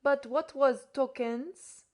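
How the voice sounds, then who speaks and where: A woman voicing a patient, courteous French gentleman with a put-on French accent. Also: on the high side, sing-song, takes it slowly, highs cut off. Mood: puzzled. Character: polite, puzzled French gentleman guest.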